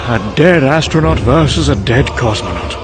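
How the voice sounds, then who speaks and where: A man's voice: medium pitch, animated, normal speed, very noisy, natural voice. A man playing a wry, cynical scientist in a dramatic motion comic monologue, musing and condescending. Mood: detached, sardonic. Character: wry, cynical male scientist recounting a doomed secret programme.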